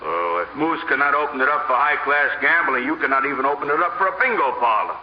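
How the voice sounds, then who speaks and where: An actor playing an unbothered, menacing gangster's collector who speaks formally and deadpan, without contractions, in a radio comedy. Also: male voice, mid-range voice, fast, natural voice. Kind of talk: 1950s radio comedy acting. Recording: muffled, echoey old radio broadcast, faint noise.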